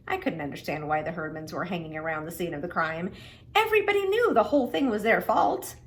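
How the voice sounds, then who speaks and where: A middle-aged woman reading to children in an expressive, simple, explanatory way. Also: on the low side. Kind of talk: children's read-aloud with friendly introduction.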